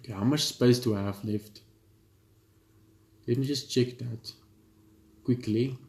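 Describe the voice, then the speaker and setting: A man's voice: medium pitch, fairly even intonation, measured pace, darkish tone, reverberant. A man reading a horror visual novel aloud and commenting as he plays, in a plain way with stumbles over words. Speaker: gaming YouTuber.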